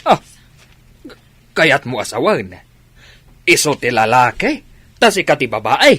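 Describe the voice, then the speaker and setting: A man's voice: medium pitch, big pitch swings, unhurried, fairly bright tone, breathy edge, no room sound, exaggerated voice. A man playing a teasing, reasoning village uncle with an Ilocano accent in a comedy radio drama. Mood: amused disbelief.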